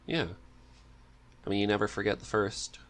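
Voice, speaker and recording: A man's voice: lowish voice, steady tone, moderate pace, a bit bright. A man hosting a casual interview podcast, agreeable and echoing what the guest says.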